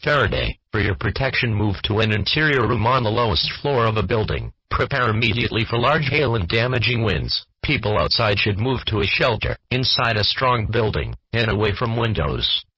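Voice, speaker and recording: A man's voice: fairly low pitch, expressive, normal speed, hardly any pauses, sharp tone, slightly rough, no echo, lo-fi sound. A synthetic male text-to-speech voice with an American accent, reading a scripted emergency weather bulletin in a formal, stilted, segmented way. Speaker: automated weather alert announcer.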